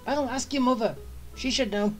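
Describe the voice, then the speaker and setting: A woman's voice: fairly high pitch, moderate pace. A woman giving casual, chatty gameplay commentary, musing aloud as she plays. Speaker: game streamer. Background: game music.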